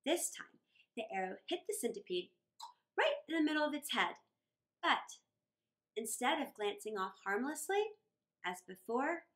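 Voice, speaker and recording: A middle-aged woman reading a story aloud for children in a theatrical way, with deliberate phrasing and dramatic pauses. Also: fairly high pitch, very animated, a bit bright. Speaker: children's storytime reader and host.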